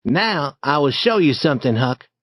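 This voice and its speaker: A man voicing a bold, eager boy who leads an adventure, in a raised, boyish pitch with lively storybook acting. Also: moderate pace, no room sound. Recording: dry, slightly dull recording.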